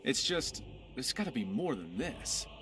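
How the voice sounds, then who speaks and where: An actor playing a dashing, playful pirate rogue in a video game, wry, boastful and theatrical. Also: male voice, mid-range voice, very animated, a little slow, fairly bright tone. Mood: restless, wry. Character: dashing cocky young male pirate rogue, playful and tender. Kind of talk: voiced game character dialogue.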